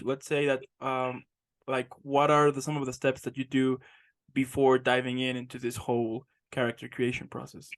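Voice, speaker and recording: A young man hosting a casual interview show, upbeat and effusive, piling on superlatives. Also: mid-range voice. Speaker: podcast host.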